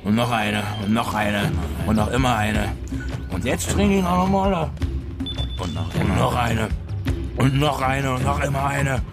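An embittered Berlin working man who drinks hard, in a radio play. He grumbles bluntly in a Berlin accent, proud and stubborn. Music plays clearly behind him. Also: mid-range voice, expressive, measured pace. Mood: drunken, bitter. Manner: repetitive, brooding, blunt grumbling. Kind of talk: radio drama acting.

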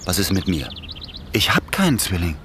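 An actor playing a wary, doubting accomplice in a radio play, blunt and hesitant, full of questions. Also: male voice, sits low, sing-song, normal speed, fairly bright tone, a little hiss or hum. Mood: doubtful. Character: wary, doubting male accomplice, simple and cautious. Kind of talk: naturalistic German radio play dialogue.

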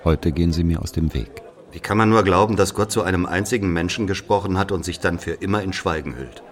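A man playing an aging Algerian who confesses an old killing in a brooding, deliberate literary monologue. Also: sits low, natural voice. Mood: insistent, defiant. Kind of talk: literary monologue, dramatic audio narration.

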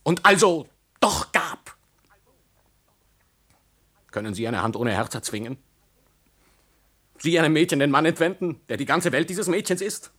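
An actor playing a passionate, defiant young nobleman in love in a classical tragedy radio play, declaiming in a husky voice. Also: fairly high pitch, animated, unhurried, pauses often, a bit bright, heavily acted. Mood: indignant. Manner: declamatory, impassioned.

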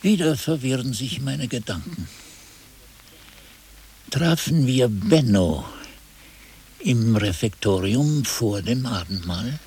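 A male actor playing a troubled old monk narrating his memories in a radio drama, husky, hesitant and searching. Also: medium pitch, lively intonation, slow pace, pauses often, a little mellow, full character voice. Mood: confused, reflective. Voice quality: husky, raspy.

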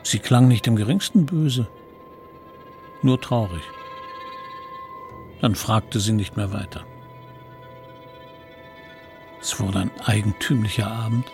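A man's voice: lowish voice, measured pace, long pauses, a little mellow, soft background music. A man playing the narrator of a radio play, reflectively recalling his own boyhood with some regret. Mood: wistful. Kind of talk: scripted first-person narration in radio play.

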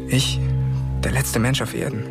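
A man's voice: mid-range voice, flat delivery, a little slow, lightly acted. Man playing bitter teenage boy, music behind. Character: bitter, isolated teenage boy survivor thinking aloud. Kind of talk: German radio drama inner monologue.

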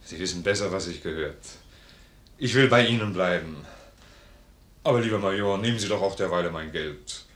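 Plain-spoken loyal army sergeant, kinda low voice, hearty, old radio play, roomy echo. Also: male voice, normal speed, long pauses, fairly bright tone. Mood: pleading, heartfelt. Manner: hearty, blunt, earnest. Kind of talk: 1950s German radio play acting. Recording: roomy vintage radio studio recording.

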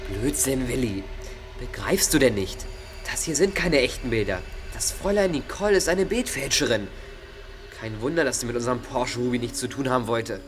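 A man's voice: mid-range voice, lively intonation, normal speed, faint music behind, natural voice. An actor playing a clever, impatient teenage boy detective in a German children's audio drama, explaining and scolding in an exasperated way.

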